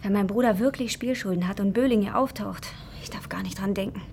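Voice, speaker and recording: A woman's voice: medium pitch, fairly quick, vocal fry, over music, close to an everyday voice. An actress playing a young mother, polite and resolute under worry, in a naturalistic radio drama. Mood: worried. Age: young adult.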